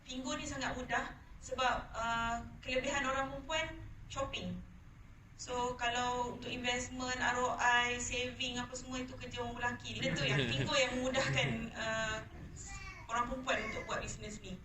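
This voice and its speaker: A woman's voice: fairly high pitch, little pitch movement, normal speed, pushed and bright. A woman with a Malaysian accent giving an informal testimonial, mixing in some English words. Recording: roomy, distant mic, noisy.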